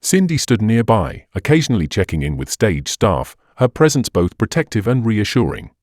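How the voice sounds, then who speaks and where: Male mystery narrator: measured, dramatic, lowish voice, expansive intonation, dry studio-like sound. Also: big pitch swings, darkish tone, no echo. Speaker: audiobook narrator. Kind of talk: scripted narration of a detective mystery.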